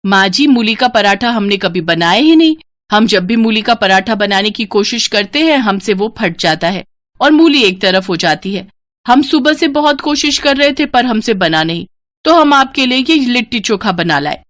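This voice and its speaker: A woman's voice: a little high, expressive, moderate pace, fairly bright tone. A young Bihari daughter-in-law in a bedtime tale, acted by a woman. She sounds earnest and eager to please, speaking politely and apologetically in a Bihari accent. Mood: apologetic, anxious.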